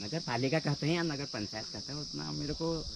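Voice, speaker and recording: A man's voice: a little high, brisk, gravelly, very noisy. A man in his forties from the hills of Uttarakhand, a native Kumaoni speaker with a Kumaoni accent, chatting casually in an earnest, rambling, philosophical way. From Uttarakhand hills, India. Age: 40s. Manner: rambling, earnest, philosophical, switches into hill dialect. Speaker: local villager being interviewed. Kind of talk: casual conversation. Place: outdoors in a hill village.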